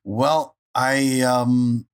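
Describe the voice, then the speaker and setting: A man's voice: medium pitch, flat delivery, extremely slow, clear, resonant tone. A man chatting casually as a podcast guest, with a wry, joking manner. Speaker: guest voice actor and stand-up comedian.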